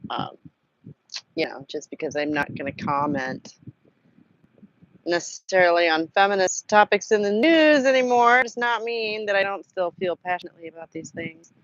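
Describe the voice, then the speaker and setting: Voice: medium pitch, normal speed, fairly bright tone. A host running a casual live book-club chat, rambling and self-deprecating, nursing a sore throat. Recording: dull lo-fi sound with a fan humming.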